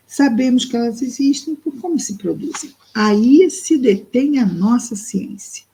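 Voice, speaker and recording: Mellow-voiced woman explaining a text slowly. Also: medium pitch, creaky, rough recording. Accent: Brazilian Portuguese. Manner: thoughtful, explains slowly with pauses and fillers. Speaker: study group presenter. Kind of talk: reading and explaining a spiritist book.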